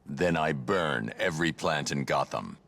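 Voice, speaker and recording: An actor playing a grim, intimidating masked vigilante with a gravelly voice and a terse, commanding manner. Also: male voice, rumbling low, lively intonation, a little slow, fairly bright tone, exaggerated voice.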